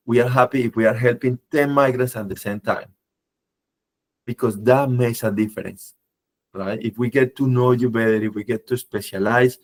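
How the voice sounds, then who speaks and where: A man presenting a webinar, his voice a bit low and tired from travel, speaking in non-native phrasing with frequent fillers and tag questions. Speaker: company head presenting a webinar. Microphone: video call.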